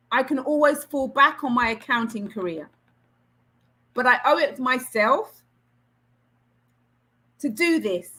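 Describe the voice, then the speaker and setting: A woman's voice: fairly high pitch, pushed and bright. A middle-aged woman from Trinidad with a Trinidadian accent, answering questions as a career coach in an online session in an earnest, encouraging way. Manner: encouraging, earnest advice-giving.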